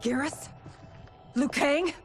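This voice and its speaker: A woman's voice: high voice, takes it slowly. An actress playing a regal, earnest warrior queen in a video game, with an emotional delivery. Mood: bewildered. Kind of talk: dramatic video game cutscene acting. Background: music.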